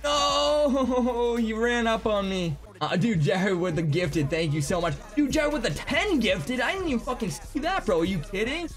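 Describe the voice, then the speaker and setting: A young man in his twenties live-streaming a game from his home setup, chatting excitably with viewers, swearing freely and going hoarse. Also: extremely high pitch, moderate pace, hardly any pauses, soft background music. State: losing his voice. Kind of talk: live gaming stream chat. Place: home gaming room.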